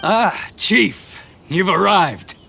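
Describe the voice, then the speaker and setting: A man playing a greedy, blustering, self-justifying tax official in a video game, sputtering indignantly in a creaky voice. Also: high voice, expressive, drawn-out words, pushed and bright, slight background noise, exaggerated voice. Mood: obsequious. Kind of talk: video game cutscene acting. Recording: music under the voice. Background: background music.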